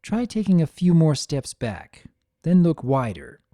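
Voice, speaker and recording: A performer voicing an older mare pony, a patient mother who explains things calmly and sensibly in a storybook reading. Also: mid-range voice, unhurried, dry recording, clean studio sound, voice pushed up. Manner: calm, sensible, gently teaching.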